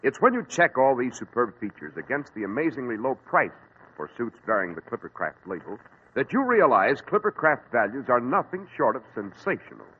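A man's voice: sing-song, brisk. Man, radio announcer, emphatic sales read, faint hiss, tinny sound. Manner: smooth, emphatic sales pitch.

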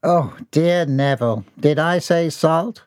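A scheming uncle speaks in a smooth, condescending voice, close to a plain male register, as a man puts him on. It is recorded dry and close. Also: a little high, slow, a little mellow, no echo, voice pushed up.

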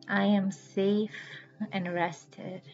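Slow-paced woman guiding relaxation over music. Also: mid-range voice, flat delivery, a little mellow. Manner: soothing, measured instruction with deliberate pauses. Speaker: yoga nidra guide and podcast host. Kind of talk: guided relaxation meditation. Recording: slightly dull audio with background music.

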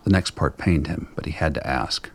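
A man with a gravelly, husky voice narrating a scripted dramatic story. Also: extremely low pitch, normal speed, a little mellow.

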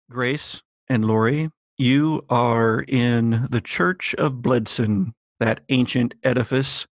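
Male game master, deliberate theatrical storyteller, gravelly fry, close dry sound. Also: mid-range voice, no room sound. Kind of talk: dramatic scripted narration and game narration.

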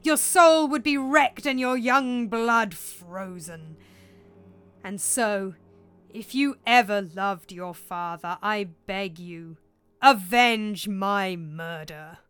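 A woman voicing the vengeful ghost of a murdered king in a storybook reading, intoning slowly and solemnly. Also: medium pitch, a bit bright, clean tone, no room sound. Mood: grave, foreboding. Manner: slow, drawn-out, solemn intoning.

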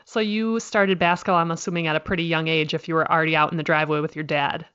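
A woman's voice: low-pitched, flat delivery, fast talker, fairly bright tone, clear, resonant tone, no room sound, highs cut off. A woman from Minnesota interviewing a guest, asking friendly, curious questions in a conversational way.

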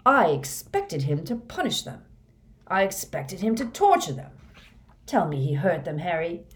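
A woman's voice: lowish voice, expressive, measured pace. A woman reading a storybook, voicing a grim, menacing older male wizard teacher who questions insistently with fanatical intensity. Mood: fanatical fury. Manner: quiet insistent questioning rising to fervent intensity.

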